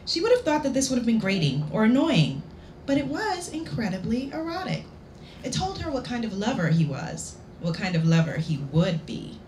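A woman reads her story to a live audience with lively, swooping pitch and theatrical pauses. Her delivery is playful and expressive. The recording is roomy, with some background noise. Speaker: author reading own work. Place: indoor lounge at a public reading event.

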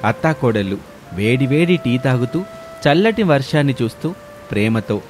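A man's voice: medium pitch, lively intonation, moderate pace. A man reads a scripted story as its narrator. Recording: music bed behind voice.

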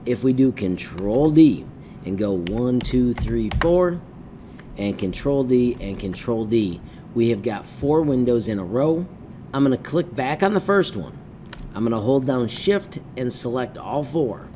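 A middle-aged man presents a hands-on computer tutorial in an informal, upbeat way. His pitch is average for a man, and he drops in punchy little exclamations and folksy phrases. Some low background noise sits behind his voice. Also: lively intonation, measured pace, warm tone. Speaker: tutorial presenter teaching 3D design. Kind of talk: step-by-step software tutorial, informal. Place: indoors at a computer, webcam screencast.

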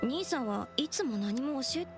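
A strong-willed young sister in an anime game. Her voice is high, and she speaks with earnest firmness. Music runs underneath. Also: lively intonation, moderate pace. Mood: scolding. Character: serious, strong-willed young woman, a scolding little sister.

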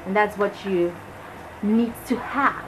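A woman's voice: mid-range voice, slow. A young woman hosting a casual home cooking vlog, chatty and enthusiastic as she explains each step. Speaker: vlog host. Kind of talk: casual cooking commentary to camera. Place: small home kitchen, indoors. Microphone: camera microphone. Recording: clear kitchen noise, camera mic. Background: kitchen cooking ambience.

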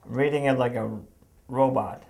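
A young man acts an awkward casting director in a comedy sketch. He is halting and easily exasperated, with a mid-range voice in an echoey office. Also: medium pitch, fairly even intonation, gravelly, a little mellow. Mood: puzzled. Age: young adult. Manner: halting, stammering, flustered. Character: exasperated, awkward young male writer running auditions. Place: small office room, indoors. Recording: echoey room.

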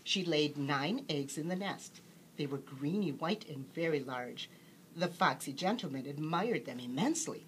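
A woman reading a children's bedtime story aloud as an expressive storyteller. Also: low-pitched, moderate pace, slight background noise.

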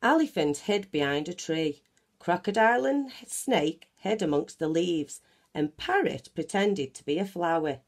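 A woman reading a children's picture book aloud in an animated, deliberate manner. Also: on the low side. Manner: animated, deliberate, acts out sound effects. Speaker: children's story reader. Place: small bedroom at home, indoors.

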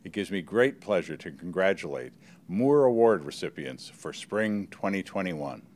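A man's voice: deep voice, lively intonation, moderate pace, a little mellow. A man in his sixties reads a formal scripted ceremonial address as a university provost. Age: 60s. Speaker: university provost presenting honors. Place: indoor stage at a podium.

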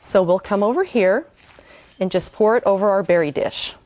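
A woman's voice: mid-range voice, normal speed, darkish tone. Chatty woman hosting a cooking demo. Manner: homey, enthusiastic, chatty instructions. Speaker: cooking show host. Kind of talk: cooking demonstration.

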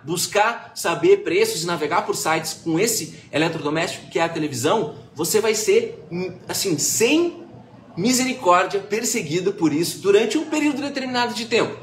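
A man giving a casual, animated online marketing class, full of fillers and restarts, recorded on a webcam. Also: high voice. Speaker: marketing consultant presenting a live class. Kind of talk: informal webinar lecture. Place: small indoor room. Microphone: webcam-framed at desk, video call. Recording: echoey room with faint background noise.

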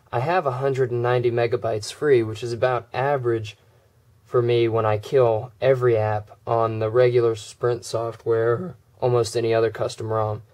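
A man with a cold, his voice going hoarse, casually walking through a phone's software and filling gaps with uhs and ums. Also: mid-range voice. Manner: relaxed, many "uh" and "um" fillers. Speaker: tech video creator. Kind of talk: casual screen demo walkthrough.

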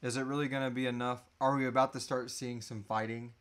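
A man in his thirties with a mid-pitched voice chats casually as a live Q&A co-host. He is loose and jokey and swears offhandedly. He sounds recorded on a device in a small indoor room. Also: level tone, fast, a bit bright. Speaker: podcast co-host answering listener questions.

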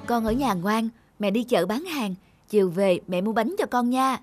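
A woman's voice: a little high, normal speed, natural voice. A woman voicing a poor, kind and patient mother who sells at a market in a cartoon. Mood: gentle, firm. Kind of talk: cartoon voice acting. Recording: music behind the voice.

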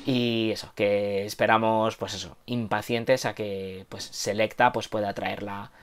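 A man's voice: lowish voice, steady tone, unhurried, clear, resonant tone. Casual Castilian Spanish man, chatty and hesitant. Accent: Castilian Spanish from Spain. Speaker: co-host of a manga and anime news show. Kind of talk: casual conversational news chat. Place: home room with bookshelves. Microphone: lapel mic.

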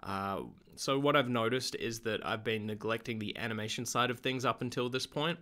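A young man, slightly hoarse from being sick, chatting in a relaxed, rambling way with lots of ums in a video diary at home. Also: mid-range voice, normal speed. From Australia. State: slightly hoarse, getting over an illness. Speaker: vlogger.

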